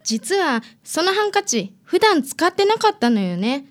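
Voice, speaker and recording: Young female client, radio drama, played by a woman: high, lively pitch, casual, stubborn. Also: unhurried, heavily acted. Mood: hesitant.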